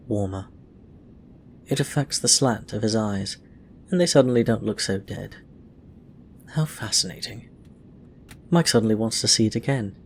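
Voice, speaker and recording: A man's voice: on the low side, a little hiss or hum. A man reading fiction aloud as a scripted narration. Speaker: audio fiction reader. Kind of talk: scripted story narration with acted dialogue.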